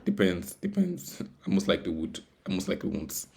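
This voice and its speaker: A man's voice: sits low, wildly swooping pitch, brisk, vocal fry, darkish tone. A man giving a casual, unscripted coding walkthrough as tutorial host, rambling, self-deprecating and repeating words and phrases. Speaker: tutorial host, software developer.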